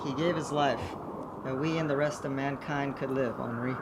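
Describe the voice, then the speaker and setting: A woman playing an earnest, excitable man, a hero's loyal companion, in an old-time radio serial. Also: a bass voice, moderate pace, heavy background noise. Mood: grieving.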